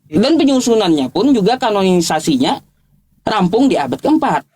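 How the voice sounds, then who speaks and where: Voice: medium pitch, sing-song, moderate pace, darkish tone, slightly rough. A debater, speaking informally and insistently, challenging and repeating points in a religious argument. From Indonesia.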